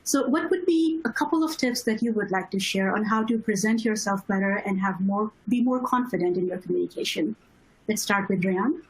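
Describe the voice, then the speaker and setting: A woman from Pakistan with a Pakistani accent, moderating an online panel discussion in a reflective, measured way. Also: mid-range voice, slightly rough, rough recording. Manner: reflective, measured, asks follow-up questions.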